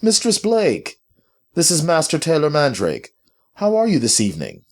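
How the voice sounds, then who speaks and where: A man's voice: medium pitch, sing-song, measured pace, pitched above the performer's own voice. A man voicing a cold, pompous, irritable master who speaks formally and with authority in a story. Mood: falsely polite.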